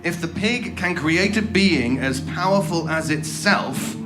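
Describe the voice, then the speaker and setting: A man's voice: on the high side, lively intonation, a little slow, over loud music. A man playing an impatient, sarcastic interrogator for a secretive organization in an audio horror drama. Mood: uneasy, dismissive.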